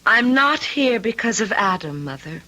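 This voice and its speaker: An actress playing a weary but resolute young estranged wife in an old-time radio drama, with dramatic, halting delivery. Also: female voice, a little high, sing-song, bright, forceful tone, full character voice. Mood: firm, tense.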